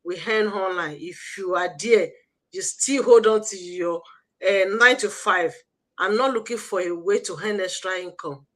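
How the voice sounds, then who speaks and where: A woman with a Nigerian accent and a mid-range voice addresses online viewers as a host. She exhorts them like a preacher, emphatic and repetitive in her motivational pitch. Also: measured pace, fairly bright tone. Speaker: host of an online business promotion livestream.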